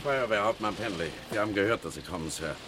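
Man playing serious fire brigade chief, lowish voice, polite and hesitant, old noisy radio play. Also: animated, quick pace, close to an everyday voice. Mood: grave, deferential. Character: respectful, grave fire brigade captain. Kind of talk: 1960s German radio crime drama acting.

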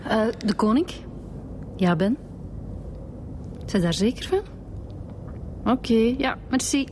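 An actress with a Flemish accent playing a brisk, informal female homicide detective in a radio drama, in a casual but businesslike manner. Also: on the high side, lively intonation, darkish tone, slight background noise. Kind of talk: naturalistic radio drama dialogue.

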